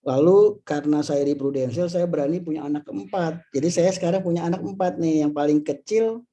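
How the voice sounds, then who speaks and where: A man's voice: fairly high pitch, fairly quick, warm tone. A man of about fifty with an Indonesian accent gives an informal motivational talk, conversational and full of tag phrases and rhetorical questions. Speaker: insurance agency leader and motivational speaker.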